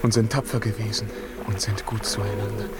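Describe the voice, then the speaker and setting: A man's voice: on the low side, flat delivery, fairly quick, over loud music, lightly acted. An actor playing a distraught young father searching for his family in a 1950s radio drama, with impassioned, declamatory delivery. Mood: hushed, fearful.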